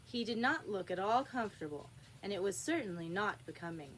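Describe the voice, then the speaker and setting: A woman reading a children's classic aloud as an expressive storybook narrator. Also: medium pitch, brisk, slight background noise, rough recording. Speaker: storybook reader.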